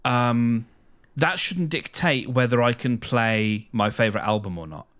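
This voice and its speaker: A man co-hosting a podcast, giving opinions casually, halting and repeating phrases for emphasis. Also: medium pitch, a bit bright, smooth. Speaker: podcast co-host.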